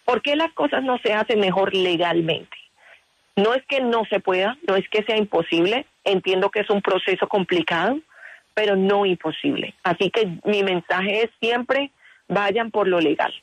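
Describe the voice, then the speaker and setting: A woman's voice: mid-range voice, normal speed, dry recording, rough recording. A woman answering a radio interview with earnest insistence and frequent restarts. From Colombia. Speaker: interview guest.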